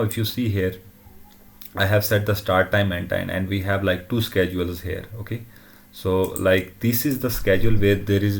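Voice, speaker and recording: A man presenting an informal technical demo in a halting way, often checking in with short tag questions. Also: deep voice, gravelly. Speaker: tutorial presenter.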